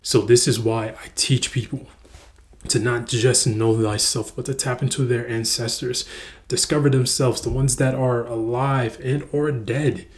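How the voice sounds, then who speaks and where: A young man from the United States, talking earnestly in a reflective personal vlog and stumbling over words with frequent repeats. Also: mid-range voice. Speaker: vlogger.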